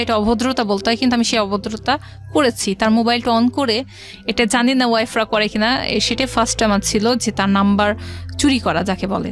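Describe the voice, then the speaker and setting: A middle-aged woman with a Bangladeshi accent, a guest telling her life story conversationally, with some English words mixed in. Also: a little high. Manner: conversational, quotes past dialogue, mixes in English words. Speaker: interview guest. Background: background music.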